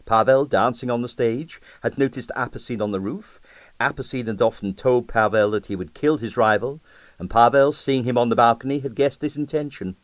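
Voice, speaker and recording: A man's voice: medium pitch, dry recording. A man reading a comic mystery story as a scripted, dramatic audiobook narration.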